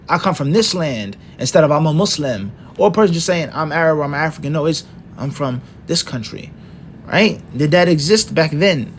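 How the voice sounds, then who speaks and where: A man's voice: fairly high pitch, a little hiss or hum. A middle-aged man teaching an Islamic lesson, working through points one by one and asking rhetorical questions. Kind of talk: lecture.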